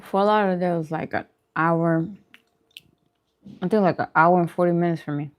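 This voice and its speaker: Slightly low-voiced woman chatting casually. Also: fairly even intonation, normal speed, clear, resonant tone. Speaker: host of a vegan food channel, eating on camera. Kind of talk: casual chat while eating, mukbang livestream.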